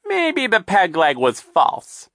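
A shrill, gloating villain count, swinging wildly up and down in pitch. The voice is bright and sharp, recorded close and dry with no room around it. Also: male voice, huge pitch swings, slow pace, no echo, heavily acted. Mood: evasive. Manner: theatrical, whiny false joy then gloating growl. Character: scheming villainous male count, boastful.

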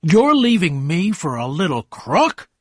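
A jealous, domineering husband from a storybook, acted out by a male narrator. He is loud and accusing, with a husky edge and big, forceful swings in pitch. The recording is close and dry. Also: sing-song, a little slow, no room sound, exaggerated voice. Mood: scornful, forceful. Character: bad-tempered, domineering jealous husband, adult man.

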